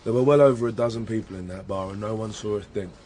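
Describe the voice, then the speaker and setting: A man's voice: lowish voice, fast, darkish tone, a little hiss or hum, lightly acted. A British man playing a sceptical, impatient younger police detective in a radio drama, blunt and colloquial. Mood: matter-of-fact. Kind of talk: naturalistic radio drama dialogue.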